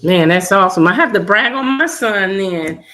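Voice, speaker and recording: A woman's voice: medium pitch, lively intonation, moderate pace, sharp tone. A woman on a casual talk show panel, telling stories with emphatic energy. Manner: emphatic storyteller.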